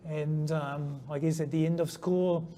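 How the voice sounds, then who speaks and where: A man's voice: a little high, fairly even intonation, measured pace, mellow. A middle-aged German-born man with a New Zealand accent, a scientist guest answering interview questions hesitantly, with many ums and restarts. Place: conference venue. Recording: faint background noise at a conference.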